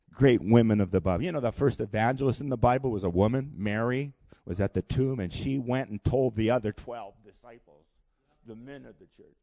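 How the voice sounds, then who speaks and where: Pastor in his 60s, gravelly voice, dry close sound, lively call and response preaching. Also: fairly low pitch, no echo. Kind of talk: sermon. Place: church hall.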